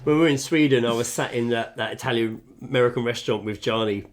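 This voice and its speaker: A man's voice: medium pitch, fast talker. A man co-hosting a podcast from a home kitchen, telling stories in casual chat and swearing freely. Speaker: podcast co-host.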